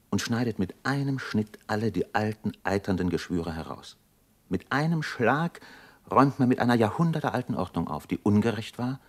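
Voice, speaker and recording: Deep-voiced, reflective doctor. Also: male voice. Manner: reflective, intense, declaiming. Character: thoughtful doctor, awed by revolutionary upheaval. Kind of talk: German radio drama acting.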